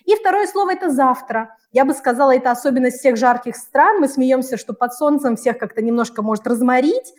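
A woman's voice: high voice, expressive, brisk, hardly any pauses. A woman from the far north, talking fluently as an interview guest and explaining things with little quoted examples. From Murmansk region, Russian far north. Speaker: interview guest, Russian language teacher living in Tanzania. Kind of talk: conversational interview answers, explaining and storytelling.

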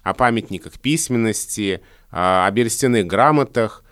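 A man with a low voice, explaining at length in a dry, close studio sound. He talks conversationally as a podcast co-host, with his pitch rising when he stresses a point. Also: moderate pace, a bit bright, clear, resonant tone, dry recording. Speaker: podcast co-host, language expert.

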